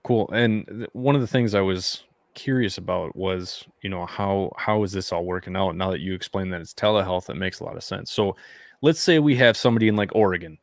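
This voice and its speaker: A man's voice: deep voice, no room sound. A man hosting a veterans' podcast, chatting casually with lots of fillers. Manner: fast, loose talker with many fillers. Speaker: podcast host. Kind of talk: casual interview chat.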